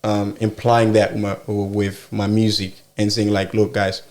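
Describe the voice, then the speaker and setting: A young man, a musician guest, talking casually and thoughtfully in an interview, with many pauses and fillers. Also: on the low side, monotone, darkish tone.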